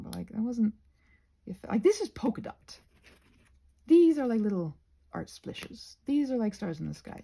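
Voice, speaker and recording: A woman chatting in a rambling, halting ASMR style, soft-spoken and often slipping into whispers. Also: on the high side, creaky, breathy edge. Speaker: ASMR creator rambling while painting a craft project. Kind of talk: ASMR rambling casual chat.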